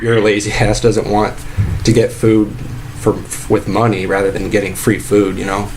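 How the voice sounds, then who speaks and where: A man playing an aggrieved fast-food worker making a halting, rambling, repetitive complaint in a casual way. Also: on the low side, completely flat delivery, lightly acted. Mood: earnest, indignant. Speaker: prank caller posing as a sandwich shop employee. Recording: clearly noisy phone prank recording.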